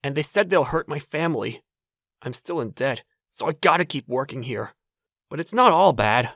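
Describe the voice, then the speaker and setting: An actor playing a friendly, frail young debt laborer, gentle and resigned, in an anime dub. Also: male voice, fairly high pitch, expressive, moderate pace, no echo, studio quality. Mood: resigned, kindly.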